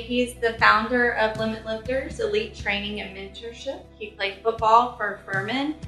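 A woman's voice: on the high side, sharp tone. A woman hosting an interview podcast in a friendly, enthusiastic, chatty way. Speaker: podcast host. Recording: echoey room with faint music.

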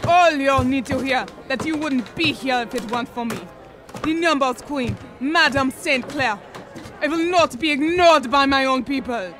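A woman's voice: sits high, moderate pace, music in the background, lightly acted. Actress as a proud, imperious Harlem crime queen. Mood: indignant. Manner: commanding, blunt, streetwise. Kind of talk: period radio drama acting.

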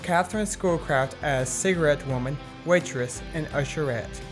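A woman's voice: low-pitched, moderate pace. A woman reading a scripted announcement as a credits announcer. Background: guitar music.

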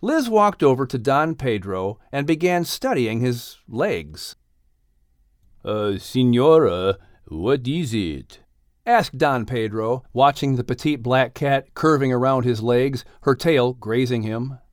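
Very animated male children's storyteller. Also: mid-range voice, measured pace, hardly any pauses, dry recording, studio quality. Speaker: announcer and audiobook narrator.

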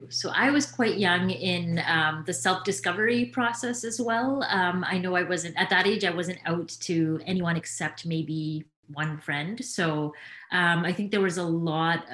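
A woman in her thirties speaking as a panel guest, hesitant with many pauses. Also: on the low side, fairly bright tone. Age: 30s. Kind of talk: panel discussion. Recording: roomy echo.

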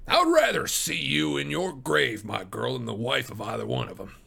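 A man's voice: mid-range voice, expressive, normal speed, creaky, sharp tone, husky, full character voice. A man voicing a sturdy old farmer in a storybook, a fiercely protective father who speaks with sardonic defiance. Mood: indignant.

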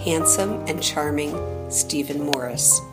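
A woman's voice: deep voice, a little slow. A woman announcer reading a scripted show introduction. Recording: loud music under the voice.

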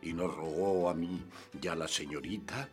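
A man narrating a radio play in the first person, telling his story with lively expression. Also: low-pitched, moderate pace, faint music behind.

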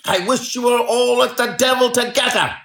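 A man's voice: very high-pitched, moderate pace, gravelly, reverberant, pitched above the performer's own voice, exaggerated voice. A man voicing a surly, furious market salesman who blusters and threatens in a storybook reading. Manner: blustering, shouted outbursts.

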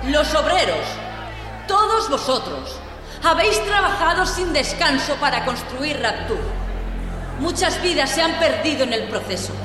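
A woman's voice: high-pitched, sing-song, unhurried. A woman playing a fiery revolutionary leader in an audio drama, giving a declamatory, rousing political speech to workers. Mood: impassioned, defiant. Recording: echoing large hall, loud music underneath.

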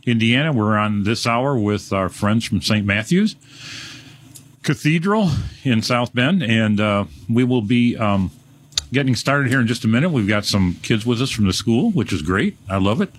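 A man's voice: mid-range voice, animated, normal speed. A man, hosting a live radio fundraiser in a casual, joking way full of fillers. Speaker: radio host.

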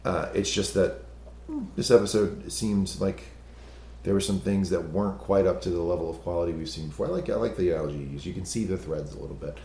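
A man with a very low, hoarse and gravelly voice talks in a loose, chatty way, laughing at himself and restarting his sentences. The recording has a roomy echo around it. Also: fast, warm tone, slight background noise. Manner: chatty, self-deprecating, restarts often. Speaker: podcast co-host. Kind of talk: casual TV recap chat.

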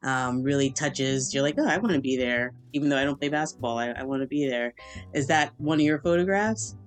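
Low-voiced chatty woman host. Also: a little fast, soft background music. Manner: friendly, chatty, uses "like" a lot. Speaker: podcast host. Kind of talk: casual interview chat.

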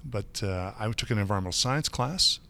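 A middle-aged man from Michigan talks through his career in a relaxed, chatty way. His voice sits on the lower side, and he often pauses on fillers and restarts his sentences as he thinks. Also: slight background noise. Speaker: guest. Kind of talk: casual interview.

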